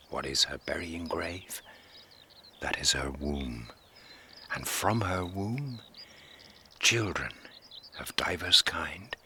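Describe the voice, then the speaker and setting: A contemplative older friar with an extremely low, bright voice. He muses slowly through Shakespearean verse, with faint ambient noise behind him. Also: heavily acted. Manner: measured, musing. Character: contemplative older friar gathering herbs at dawn. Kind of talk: classical verse audio drama.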